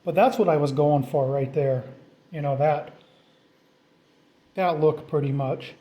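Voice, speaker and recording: Male DIY vlogger, slightly high pitch but soft, dark-edged tone, informal thinking-aloud delivery. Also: unhurried. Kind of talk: casual off-the-cuff how-to narration.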